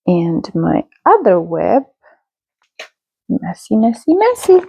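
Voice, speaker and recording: Woman host, slow and chatty, soft dark tone, lo-fi dry home recording. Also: medium pitch, huge pitch swings, gravelly, slightly rough, no echo. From Europe. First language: Czech. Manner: slow, unhurried, chatty with small self-corrections. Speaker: knitting podcast host. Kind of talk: casual show-and-tell chat. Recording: slightly dull, dry lo-fi sound.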